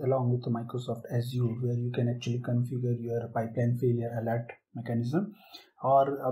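A man presenting a software tutorial, explaining a screen-share demo step by step with occasional fillers. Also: medium pitch, rough recording.

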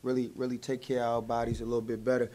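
A young man, a college basketball player, giving laid-back, low-key answers at a post-game press conference into a table mic. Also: completely flat delivery, rapid-fire, a little mellow. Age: young adult. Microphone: table mic in front.

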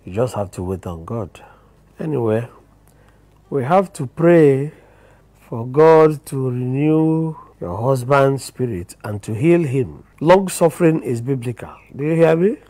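A man with a Nigerian accent playing a patronising pastor who counsels in a measured, sermonising way in a drama. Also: mid-range voice, expressive, dark tone, no room sound. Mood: preachy, dismissive. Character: preachy, patronising male pastor.

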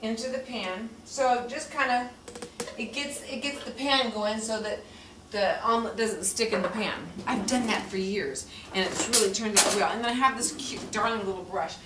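A woman's voice: on the high side, moderate pace, background noise. Folksy woman cooking in an echoey kitchen. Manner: folksy, chatty, ends lines with "okay?". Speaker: cooking show host. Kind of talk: home cooking demonstration. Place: home kitchen. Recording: echoey kitchen, voice from far off, loud cooking clatter. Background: sizzling pans and clinking utensils.

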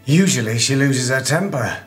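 A man's voice: medium pitch, lively intonation, normal speed, faint music behind, natural voice. A man playing a harried middle-aged radio producer, flippant, sarcastic and evasive. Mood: wry. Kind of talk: naturalistic screen comedy-drama acting. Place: small office.

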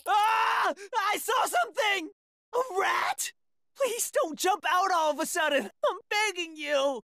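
A man's voice: very high voice, animated, unhurried, gravelly, bright, forceful tone, no room sound, shouting, studio quality, full character voice. A man voicing a cowardly, whiny teenage boy swordsman in an anime dub, tearful and strained, wailing and stammering. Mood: frightened, pleading.

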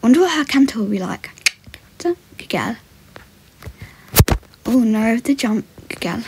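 A woman's voice: fairly high pitch, animated, unhurried, creaky, darkish tone, slight background noise, poor audio. A young woman giving casual vlog commentary while riding, breaking off into short soothing commands and murmured praise to a horse. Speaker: horse rider in a voiced role-play video.

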